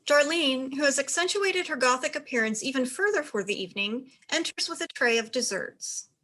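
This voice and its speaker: High-pitched woman reading stage directions. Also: animated, normal speed, pushed and bright. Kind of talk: scripted narration.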